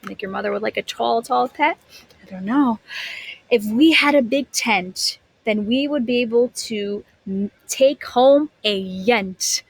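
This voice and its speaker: A woman's voice: fairly high pitch, measured pace, creaky, breathy edge, poor audio. A woman teacher reading a picture book aloud to young children, expressively, stretching words and putting questions to her listeners.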